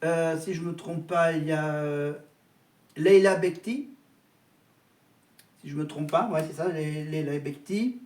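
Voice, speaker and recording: A man talks casually to his viewers in a high-pitched voice, stopping and restarting his phrases as he goes. He sits back from the mic in an echoey room. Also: measured pace, pauses often, a little mellow, far from the mic. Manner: hesitant, repeats words and restarts often. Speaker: vlogger. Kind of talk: casual chat to camera.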